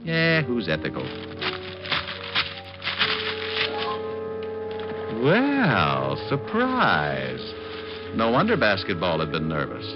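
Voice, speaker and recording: Cocky american private detective, real low voice, deadpan quips, old dull radio recording with music. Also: male voice, slow, full character voice. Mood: wry narration. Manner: glib, deadpan wisecracks. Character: wisecracking, cocky male private detective, flirty and unhurried. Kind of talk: 1940s American radio detective drama acting.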